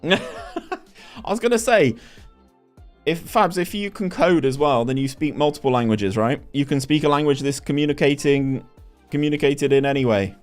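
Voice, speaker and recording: A man's voice: fairly high pitch, moderate pace, a little mellow, soft background music. A young man, chatting casually on a stream and reading chat aloud with lots of restarts. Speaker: gaming streamer.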